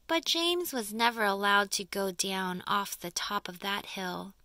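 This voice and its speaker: Woman, storybook narrator, slow pace, bright crisp tone, dramatic emphasis, expressive delivery. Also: medium pitch, dry recording. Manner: dramatic, deliberate phrasing with emphasis. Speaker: audiobook reader.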